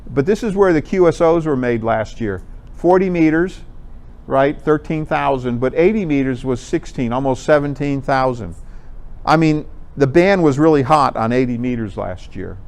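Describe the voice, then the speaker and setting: Clear-voiced man giving an informal presentation. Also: medium pitch, expressive, normal speed, darkish tone. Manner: conversational, explanatory. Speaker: presenter at an amateur radio club talk. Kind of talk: informal slide presentation to a group.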